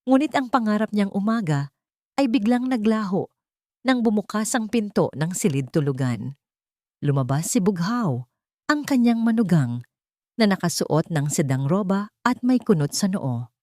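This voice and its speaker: A woman's voice: on the low side, darkish tone, no room sound, studio quality. A woman with a Filipino accent reading a dramatic scripted story as its narrator.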